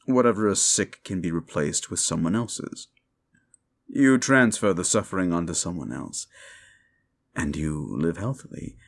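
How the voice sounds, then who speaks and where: A man playing a cruel, sneering demon in an ASMR roleplay, sardonic and condescending, with a gravelly voice. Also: low voice, expressive, unhurried, full character voice. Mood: smug, bargaining. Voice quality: gravelly, creaky. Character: cruel, sneering male demon bargaining for wishes. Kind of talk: scripted ASMR roleplay addressed to the listener.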